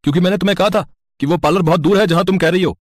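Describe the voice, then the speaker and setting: A man's voice: high voice, rapid-fire, dry recording, studio quality, close to an everyday voice. A man voicing a concerned young husband on the phone in a cartoon family story. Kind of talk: animated moral story dialogue.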